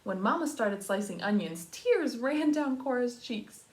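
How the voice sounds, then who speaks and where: A woman reading a children's storybook aloud like a kindergarten teacher, expressive and pausing to talk to young listeners. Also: a little high. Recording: roomy echo.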